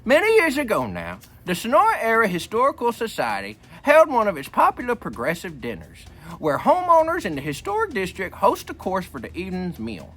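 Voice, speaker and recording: A man's voice: sits high, huge pitch swings, brisk, a bit bright, full character voice. A man playing a folksy, joking old grandpa who reads a book aloud and rambles off into repetitive wisecracks. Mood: folksy storytelling. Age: older. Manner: rambling, repeats himself, wisecracking. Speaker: book reader. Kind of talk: reading a book aloud with rambling comic asides.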